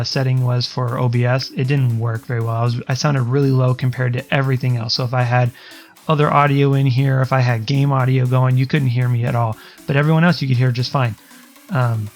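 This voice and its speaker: A man with a self-described higher-pitched voice talks through settings in a casual, rambling way, often restarting his sentences and filling gaps with ums. His pitch sits in a middle range for a man. Quiet music plays faintly underneath him. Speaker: streamer explaining audio settings. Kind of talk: casual screen-recorded tutorial.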